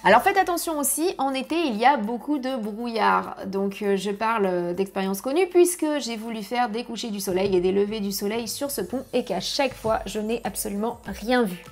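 A woman in her thirties giving travel tips to camera from her home office, lively and chatty, on a lapel mic. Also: a little high, normal speed, few pauses, faint music behind. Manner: lively, chatty, gesturing with hands. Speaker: family travel vlogger. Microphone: clip-on lapel mic.

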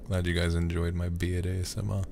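A man with a very low voice talks softly and intimately into a close microphone while streaming a game. His speech crackles with vocal fry and often slips toward a whisper. Game ambience and clear noise sit behind him. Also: little pitch movement, a little fast. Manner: soft-spoken, often dropping to a whisper. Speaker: livestreamer. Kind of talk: ASMR game livestream, soft close talk. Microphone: close ASMR microphone.

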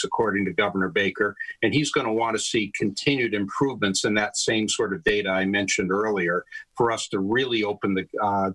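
A man's voice: lowish voice, bright, forceful tone, breathy edge, low-quality recording. An older man, a health system leader, explaining steadily over a video call from a home study, with light fillers. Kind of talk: expert answers on a video call. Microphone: video call webcam.

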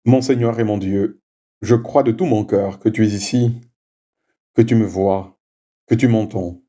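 A man whose first language is Swahili, leading a prayer and giving a slow, measured homily with frequent little "hein" asides. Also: lowish voice, fairly even intonation, dark tone. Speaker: preacher.

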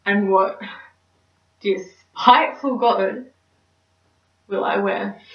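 A woman's voice: medium pitch, fairly even intonation, extremely slow. A young woman performing a stage monologue as a neurotic, melodramatic single woman, theatrical and anxious. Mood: anxious fretting. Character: neurotic, dramatic single woman dreading dating. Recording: roomy, distant mic, slightly dull.

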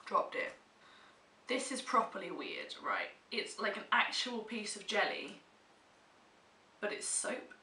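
A woman's voice: mid-range voice, lively intonation, slow pace, pauses often, sharp tone. A young British woman vlogger with a stuffy cold, chatting casually and emphatically to camera in a room at home. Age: young adult. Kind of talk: casual monthly favourites chat to camera. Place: small room at home, indoors. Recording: roomy echo, distant mic, faint hiss.

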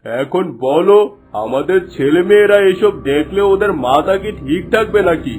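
An actor voicing a scheming, lecherous village landlord in a cartoon, haughty and menacing. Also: male voice, sits high, animated, moderate pace, a little mellow. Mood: sly, persuasive. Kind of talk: cartoon voice acting.

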